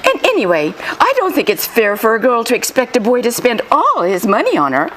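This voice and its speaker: A woman's voice: on the high side, wildly swooping pitch, fairly quick, fairly bright tone, low-quality recording. A woman playing a sweet but pushy teenage girlfriend, coy and theatrical, in 1940s radio comedy style. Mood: insistent, demanding. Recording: live acting with clear background noise.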